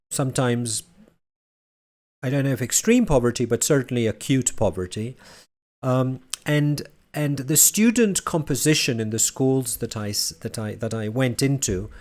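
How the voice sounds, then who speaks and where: A man who grew up in Barcelona, presenting his research at a conference as a university lecturer. He speaks in a measured way and builds his points as lists. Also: medium pitch. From Spain (Barcelona).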